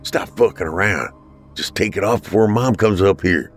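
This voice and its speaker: A man's voice: on the low side, normal speed, soft background music, close to an everyday voice. A man voicing a casual, skeptical older teenage brother in a story, slangy and exasperated. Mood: skeptical annoyance.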